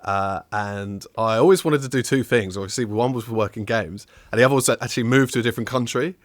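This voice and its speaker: A man's voice: medium pitch, fast talker, no room sound, studio quality. A young man from just outside southwest London, with a southern English accent, chatting casually and upbeat as a guest. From England, outside southwest London. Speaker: guest, technical audio designer. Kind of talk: casual interview chat.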